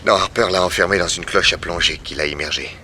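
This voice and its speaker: A commanding detective hero from a sixties French radio serial. His low voice is very bright and forceful, brisk and authoritative, on a slightly noisy old recording. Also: heavily acted. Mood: assured, urgent. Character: shrewd, commanding detective hero, an older uncle figure.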